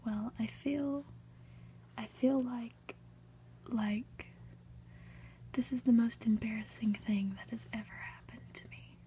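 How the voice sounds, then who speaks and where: A woman voicing an embarrassed ordinary man with a halting, self-conscious whisper in an ASMR storybook reading. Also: fairly high pitch, fairly even intonation, dark tone, a little hiss or hum. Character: embarrassed, hesitant ordinary man being interviewed.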